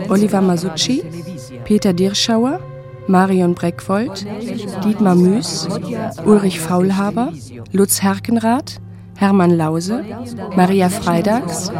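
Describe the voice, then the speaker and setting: Woman slowly reading radio credits, music under her. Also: medium pitch. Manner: calm, measured announcing. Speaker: announcer.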